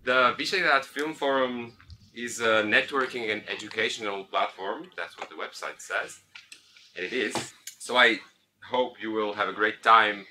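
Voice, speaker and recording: A man giving a formal welcome speech for his school, reading from notes with halting fillers in an indoor room. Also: lowish voice, sharp tone, slight background noise. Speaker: school representative.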